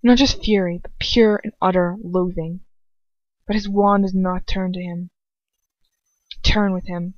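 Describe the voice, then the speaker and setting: A woman's voice: lowish voice, steady tone, measured pace, pauses often, dry recording. Woman narrating fiction, dry muffled recording. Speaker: amateur audiobook narrator. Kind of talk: expressive fan fiction narration with occasional stumbles. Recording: dull lo-fi close home recording.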